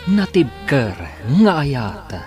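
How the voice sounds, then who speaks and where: A man's voice: a little high, very animated, very slow, dark tone, exaggerated voice. A man narrates a radio drama serial in a dramatic announcing style over music. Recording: music under the voice. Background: musical instruments.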